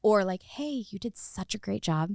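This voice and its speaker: A woman's voice: medium pitch, expressive, moderate pace, gravelly, a little mellow, no room sound, studio quality. A woman talking conversationally as an interview guest, telling stories with frequent "like" and acted-out quotes. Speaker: podcast guest, actor turned director.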